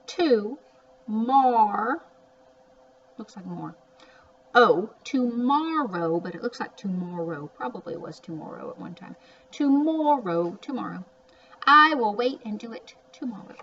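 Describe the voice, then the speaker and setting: A woman's voice: a little high, expressive, measured pace, fairly bright tone. A woman teaching young children to read, sounding out and repeating words with chatty asides, in a word-by-word phonics lesson. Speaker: early reading teacher. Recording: distant mic, muffled, faint background noise.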